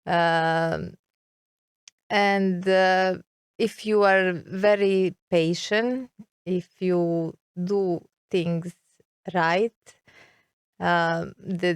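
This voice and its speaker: A woman's voice: lowish voice, smooth, no room sound, clean studio sound. A middle-aged woman from Serbia with a Serbian accent, a guest in an interview, talking hesitantly and searching for words. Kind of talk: interview conversation.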